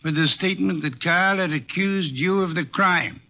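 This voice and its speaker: Imperious radio-mystery sleuth, gravelly and higher-pitched, formal and unhurried, on a dull vintage recording. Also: male voice. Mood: sardonic, probing. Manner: pompous, formal diction. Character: shrewd, imperious, unhurried male detective. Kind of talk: 1940s-50s radio mystery acting.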